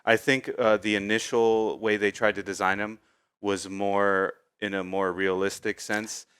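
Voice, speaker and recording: A man with a fairly low, smooth voice co-hosts a casual review. He is agreeable and a little hesitant, circling back over his points. It is recorded dry, without room sound. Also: flat delivery, a little slow, a bit bright, dry recording. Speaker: co-host of a video game review show. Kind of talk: casual film review chat.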